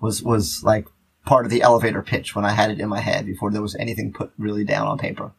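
A man chatting casually as a podcast host, in a halting, rambling way full of fillers. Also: fairly low pitch, level tone, gravelly, a little hiss or hum, rough recording. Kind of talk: casual chat.

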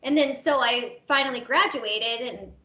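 An American woman of thirty chats casually as a podcast guest. Her voice is bright and on the high side, recorded in a noticeably echoey room. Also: measured pace. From Maryland, United States. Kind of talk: casual, chatty podcast conversation. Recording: roomy echo, slightly dull sound.

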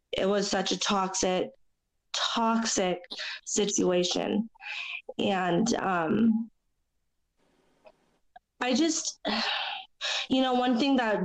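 A young woman with a raspy, hoarse voice from a chest cold, sharing her story as an interview guest in a halting, earnest way with many fillers. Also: fairly low pitch, rough recording. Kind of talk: personal testimony in a podcast interview.

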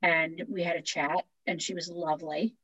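A woman's voice: lowish voice, level tone, moderate pace, fairly bright tone, husky, no room sound, dull audio. A woman in her forties with a Long Island accent, co-hosting a podcast in casual chat, laughing often and restarting her sentences. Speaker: podcast co-host.

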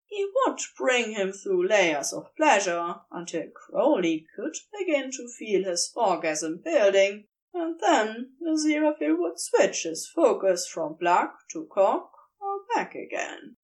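A woman reading a fan fiction story aloud as a podfic, in a slow, expressive and intimate way. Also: medium pitch, low-quality recording. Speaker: podfic reader. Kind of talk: scripted story narration.

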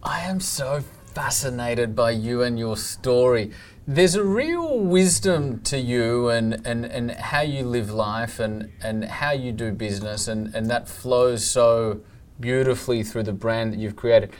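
A woman's voice: extremely low pitch, animated, measured pace, a little mellow. A woman hosting a podcast interview, speaking in an effusive, admiring way. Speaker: podcast host. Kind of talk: interview host.

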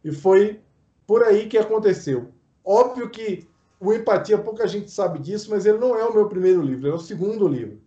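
Man, author guest, storytelling, dark mellow voice, echoey dull recording. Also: high voice. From Pernambuco, Brazil. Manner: storytelling, acts out quoted speech. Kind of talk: interview answer. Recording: dull roomy sound.

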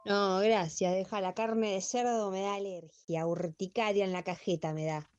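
A woman's voice: mid-range voice, steady tone, normal speed, over music, natural voice. A woman playing a blunt, sarcastic middle-aged classmate in a comic radio play, mocking and foul-mouthed, with an Argentine accent. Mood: sarcastic, exasperated. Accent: Argentine, Rioplatense.

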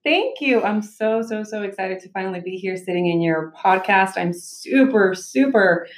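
A woman's voice: medium pitch, lively intonation, moderate pace. A woman from Mexico, a podcast guest who talks eagerly and rambles, and laughs easily. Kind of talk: casual interview conversation. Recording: echoey room.

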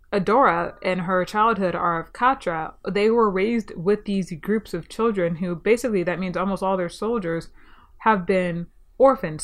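A young woman talks through a solo podcast in a slightly low voice, eager and chatty, with plenty of ums along the way. Her nose sounds a little stuffy from a cold. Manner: enthusiastic, chatty, frequent ums. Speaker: podcast host.

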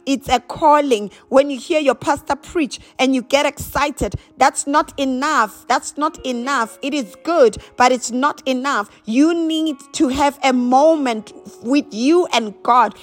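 A woman preacher delivering a charismatic prayer sermon, exhorting with repeated emphatic phrases and bursts of chanted glossolalia. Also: high voice.

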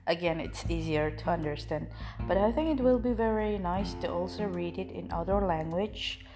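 Woman, native Indonesian speaker, informal Bible reader, low-ish voice, faint background music. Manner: unhurried, with frequent fillers and restarts. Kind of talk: informal Bible reading and introduction.

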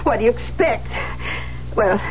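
A woman's voice: fairly high pitch, animated, a little slow. An actress playing a dotty older lady who dithers and sweetly scolds, in a radio drama. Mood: fussy, oblivious. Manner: fussy, dithering, repeats words. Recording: muffled vintage radio with faint hum.

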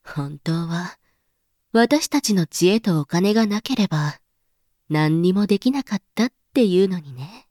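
A woman's voice: on the low side, expressive, a little slow, dark tone, no echo, clean studio sound. A woman playing a scheming, amused member of the elite in a voice drama, speaking in a haughty, teasing, knowing manner. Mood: sly, mocking. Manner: teasing, haughty, feminine speech with knowing asides. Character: scheming, amused elite woman who secretly engineered a revolution. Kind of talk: voice drama monologue.

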